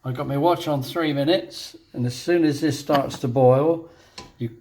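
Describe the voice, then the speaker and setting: A man's voice: medium pitch, measured pace, a little mellow. A man demonstrating a dessert in a kitchen, talking through each step with jokes. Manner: chatty, jokey, narrates each step. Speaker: guest cook. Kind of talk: cooking demonstration chat.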